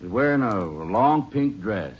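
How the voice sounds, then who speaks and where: A man's voice: lowish voice, lively intonation, slightly rough. An actor playing a nervous, defensive male murder suspect who hesitates and hurries his answers, in 1940s radio drama style. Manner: defensive, hesitant and quick when pressed. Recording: dull old radio recording with faint hiss.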